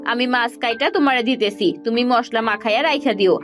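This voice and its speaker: A woman voicing the woodcutter's practical, caring young wife, who speaks in a lively, questioning way. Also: a little high, fast, over music, close to an everyday voice. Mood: homely.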